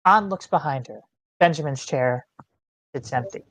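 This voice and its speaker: A woman's voice: low-pitched, normal speed, no echo. A woman reading out stage directions as scripted narration in an online screenplay table read. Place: online meeting.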